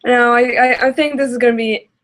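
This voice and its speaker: A woman's voice: fairly high pitch, fairly even intonation, quick pace, a bit bright. A woman giving casual reaction commentary as a video host, hesitant and self-deprecating.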